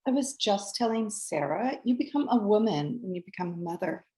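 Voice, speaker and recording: A woman's voice: medium pitch, lively intonation, brisk, dark tone, close to an everyday voice. Woman acting a brash, gushing pregnant best friend. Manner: teasing, theatrical gushing. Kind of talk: acted screenplay table read. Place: remote video call.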